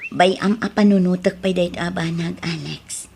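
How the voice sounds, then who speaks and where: A woman's voice: fairly low pitch, fairly even intonation, moderate pace, creaky, darkish tone, slight background noise. A woman with an Ilocano accent playing an anxious, hesitant woman in an emotional audio drama. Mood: hesitant, worried.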